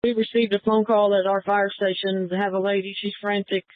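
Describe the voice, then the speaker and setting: A man's voice: high-pitched, flat delivery, brisk, dry recording. A man, a firefighter, giving an emergency report over two-way radio. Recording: thin phone-like emergency radio.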